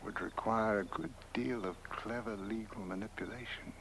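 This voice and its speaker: An actor playing a suave, scheming, lecherous lawyer with a pompous, courtly manner in a horror comedy film. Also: male voice, on the low side, fairly even intonation, moderate pace, vocal fry, a bit bright, a little hiss or hum, rough recording. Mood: sly, self-satisfied.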